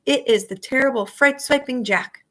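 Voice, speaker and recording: A woman's voice: expressive, moderate pace, creaky, fairly bright tone. A woman voicing a huge male giant who screeches and begs in terror during a nightmare, in a storybook reading. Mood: pleading. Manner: shrill, pleading, frantic.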